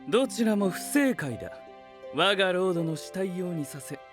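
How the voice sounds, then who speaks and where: An aloof, high-voiced analytical knight. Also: male voice, expressive, brisk, over music. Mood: cool, detached. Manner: dry, formal, pedantic. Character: cool, aloof, analytical young male knight. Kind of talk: anime voice drama acting.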